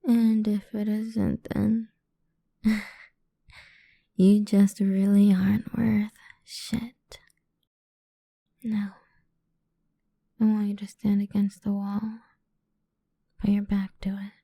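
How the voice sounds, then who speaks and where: A woman playing a cruel, condescending female boss in an ASMR roleplay, speaking deliberately and often slipping into a whisper close to the microphone. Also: medium pitch, level tone, warm tone, no room sound. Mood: coaxing, controlling. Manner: condescending, deliberate, drawn-out delivery with breathy whispers. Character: cruel, domineering female boss toying with an employee. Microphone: close microphone, dry.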